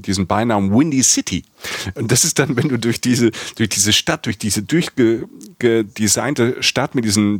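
A man's voice: medium pitch, no room sound, clean studio sound. A man co-hosting a casual travel chat, talking enthusiastically and rambling with many restarts and fillers. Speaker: podcast co-host.

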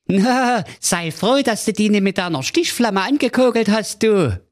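A man voicing a vain, dim-witted young prince in a squeaky falsetto with a Saxon accent. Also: animated, unhurried. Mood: goofy, excited.

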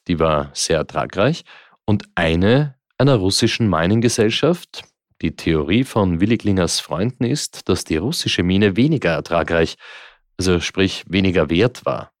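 A man co-hosting a true-crime podcast, calmly recapping a complicated case for listeners. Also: low voice, dry recording, clean studio sound. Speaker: podcast co-host.

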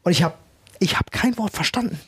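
Berlin podcast host, male, high voice, loose swearing chatter. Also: lively intonation, a little fast, a bit bright, breathy edge. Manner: rambling, swears casually. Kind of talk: casual chat.

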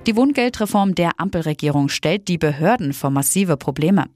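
A woman reads a news bulletin in an even, matter-of-fact tone. Her voice is very low for a woman. The recording is dry and close, with no room sound. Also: normal speed, no room sound, faint music behind. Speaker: news reader.

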